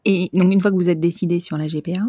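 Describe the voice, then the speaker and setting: A woman hosting a podcast interview, chatty and quick to laugh. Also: lowish voice, fairly even intonation, mellow, breathy edge, no echo, studio quality. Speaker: podcast host. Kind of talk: conversational interview.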